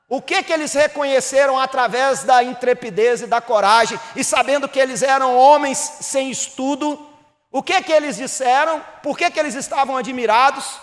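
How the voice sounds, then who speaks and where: A Brazilian pastor from Minas Gerais with a Mineiro accent, preaching a sermon that rises to emphatic bursts and drops low again. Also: male voice, fairly bright tone, big-room sound. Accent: Mineiro Brazilian Portuguese. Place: church hall.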